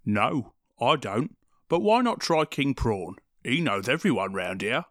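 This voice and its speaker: Brief: obliging old one-toothed sea creature played by a woman, very low. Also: very animated, measured pace, dry recording, clean studio sound, heavily acted.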